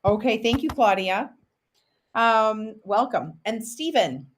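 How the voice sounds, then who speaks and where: A woman's voice: a little high, animated, slow pace, slight background noise. A middle-aged woman moderating an online panel, chatting casually with lots of ums and friendly asides. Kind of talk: hosting a virtual panel.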